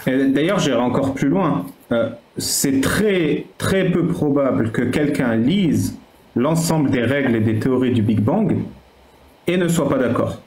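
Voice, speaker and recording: A man's voice: mid-range voice, animated, unhurried, darkish tone. A man calling into a debate, explaining an argument step by step and restarting his sentences. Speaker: caller. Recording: roomy with faint noise.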